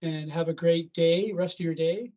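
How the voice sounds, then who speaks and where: A man with a North American accent, conducting a conversational interview in a polite, halting way. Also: a little high, fairly even intonation, mellow. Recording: dull, lo-fi call audio.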